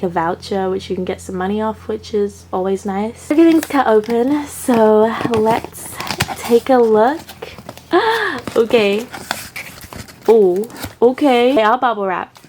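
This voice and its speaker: A chatty woman in her thirties, her voice stuffy and a bit congested from a cold. She talks casually and warmly to her viewers in an upbeat way, full of "like" fillers. Her pitch sits in the middle range for a woman. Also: a little hiss or hum. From Australia. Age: 30s. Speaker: collector vlogger. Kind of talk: casual unboxing vlog.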